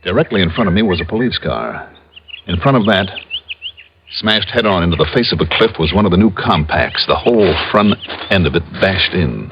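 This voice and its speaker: An American actor playing a cool, observant insurance investigator in a classic radio crime drama, with a clipped, probing way of talking. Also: male voice, deep voice, animated, brisk. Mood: tense narrating. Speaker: lead character and narrator of radio detective series. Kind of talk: classic American radio drama acting and first-person narration. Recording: noisy, muffled old radio recording.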